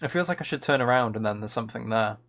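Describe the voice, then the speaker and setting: A man's voice: medium pitch, very fast, a bit bright, slight background noise. A man chatting casually on a live game stream, musing and thinking aloud as he plays. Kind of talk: casual live stream chat while playing.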